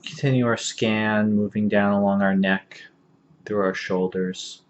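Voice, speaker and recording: A man leading a guided meditation as an instructor, speaking calmly and gently with long, unhurried pauses. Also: fairly low pitch. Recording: dull lo-fi audio, faint background noise.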